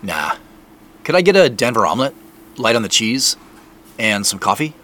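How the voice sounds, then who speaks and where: Wry evasive businessman in an audio drama, lowish voice that moves around a lot. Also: sing-song, normal speed, sharp tone, slight background noise. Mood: casual, evasive. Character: wry, evasive, preoccupied businessman investigating a mystery. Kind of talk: naturalistic audio drama acting and narration.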